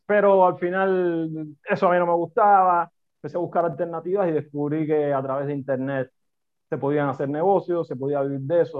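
Cuban man on a tinny remote line. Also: a little high. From Cuba. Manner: animated, talks in repeated short phrases. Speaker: podcast guest, entrepreneur. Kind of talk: conversational interview.

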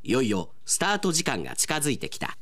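A man's voice: mid-range voice, wildly swooping pitch, fast talker. A male announcer reading scripted narration for a radio drama.

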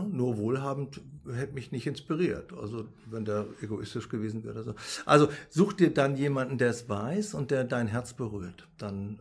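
A man's voice: on the low side, creaky, slightly rough. A middle-aged man, a guest in an interview, explaining his views on money, happiness and spirituality, often tagging sentences with "ne?". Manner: explains with frequent "ne?" tags.

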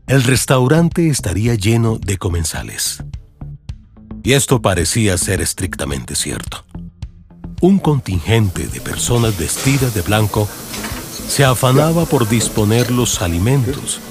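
A male narrator with a Colombian accent and a low voice tells a radio-serial story. His delivery is scripted and lively in pitch, with clear music underneath. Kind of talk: dramatized audiobook narration in radio serial form.

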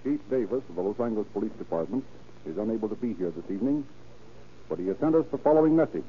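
A man's voice: on the low side, animated, fast talker, slight background noise. A man announcing and narrating in an emphatic, formal, scripted 1930s radio style. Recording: tinny 1930s radio recording with music.

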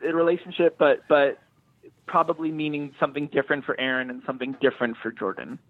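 A man with a fairly high voice gives a thoughtful interview as a writer. He often stops to search for words and rephrase. The sound is very dry. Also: steady tone, fast talker, no echo, phone-quality sound. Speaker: screenwriter being interviewed. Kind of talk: thoughtful interview talk about own writing.

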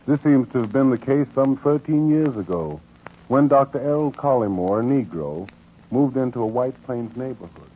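A man giving grave, earnest scripted narration for a 1940s radio documentary drama, formal and measured. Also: medium pitch, breathy edge. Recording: tinny 1940s radio recording.

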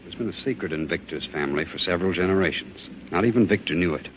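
An actor playing a grave family doctor in old-time radio drama, measured, questioning and authoritative. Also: male voice, deep voice, lively intonation. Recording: tinny, noisy old radio recording.

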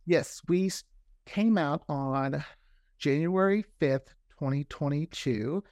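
A man with a somewhat high voice talks casually as a guest in an interview. The sound is dry, with no room to it. He tells his story conversationally, often quoting himself and others in an acted-out way. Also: takes it slowly, no room sound. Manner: animated storyteller, often acts out quoted remarks. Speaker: guest, audio drama creator.

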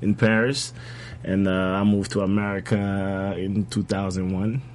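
A man in his thirties from Ivory Coast, a guest telling his life story in a relaxed, laughing way. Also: sits low, a little slow, a little hiss or hum. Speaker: guest, fashion designer. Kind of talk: casual interview chat.

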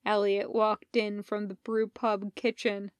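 A woman's voice: mid-range voice, flat delivery, slow pace, clean tone, no echo. A woman reading a story aloud as scripted narration. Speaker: podfic reader. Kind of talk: scripted narration of fan fiction with acted dialogue.

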